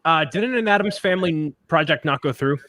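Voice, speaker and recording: A man's voice: on the high side, expressive, fairly quick, pushed and bright, dry recording. A man co-hosting a casual chat, enthusiastic and opinionated, often repeating words as he talks. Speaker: co-host. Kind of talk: casual chat while building.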